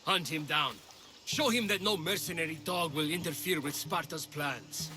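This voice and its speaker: An actor playing a proud, hot-tempered soldier, haughty and forceful, in a video game cutscene. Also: male voice, a little high, normal speed, music in the background, close to an everyday voice. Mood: commanding.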